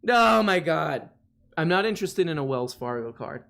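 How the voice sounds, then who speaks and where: A man's voice: on the high side, expressive, moderate pace, creaky, fairly bright tone. A man from Florida hosting a livestream, chatting casually in a rambling, self-mocking way full of fillers and restarts. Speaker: livestream host. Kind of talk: casual chat.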